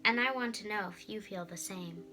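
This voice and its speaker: Girl doing a lovesick young gent from a storybook, earnest and polite, bright sound. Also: medium pitch, normal speed, soft background music, natural voice, poor audio. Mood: ardent. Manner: earnest, courtly. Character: smitten, earnest young gentleman in love.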